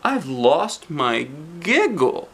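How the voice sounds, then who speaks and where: Dad doing a sad whiny little storybook girl, high pitched, really slow and mopey. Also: very animated, a bit bright, husky, heavily acted. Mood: miserable. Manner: mournful, whiny, drawn-out delivery. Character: miserable little girl who lost her giggle.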